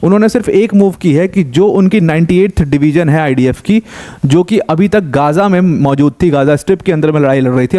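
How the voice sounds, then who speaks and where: Young male news explainer, warm, slightly raised pitch, conversational, throws questions at the viewer. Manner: conversational, asks rhetorical questions, mixes in English terms. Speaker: current affairs educator.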